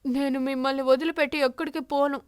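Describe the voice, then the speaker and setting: Woman as cartoon crow, high voice, defensive, frightened, pleading, close dry sound. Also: fairly even intonation, fast, dry recording, lightly acted. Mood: fearful, pleading.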